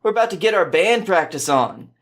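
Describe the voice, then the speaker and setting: A man's voice: high voice, big pitch swings, fairly quick, fairly bright tone, voice pushed up, heavily acted. Laid-back young male musician played by a male storyteller, slangy, casual story dialogue. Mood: inviting.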